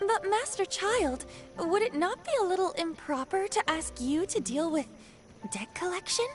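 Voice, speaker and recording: A woman's voice: very high-pitched, lively intonation, normal speed. A woman voicing a polite, formal female clerk in a game cutscene, with music behind. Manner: formal, courteous.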